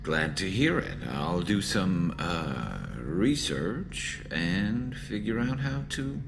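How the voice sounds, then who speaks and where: Very deep gravelly alien soldier. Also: male voice, big pitch swings, takes it slowly, noisy background, full character voice. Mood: earnest. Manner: hesitant, fumbling, dryly wry. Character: awkward, earnest male alien soldier, battle-scarred and loyal. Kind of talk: video game acting.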